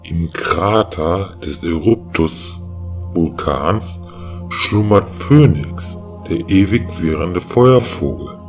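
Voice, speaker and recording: A man playing a solemn, ponderous god in an audio drama, speaking with slow weight. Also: sits low, lively intonation, faint music behind, heavily acted.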